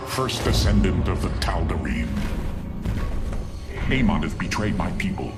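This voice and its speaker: An actor playing an arrogant, menacing alien warlord in a video game, imperious, deliberate and theatrical. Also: male voice, sits low, lively intonation. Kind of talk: video game cutscene acting. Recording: loud music behind. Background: loud music.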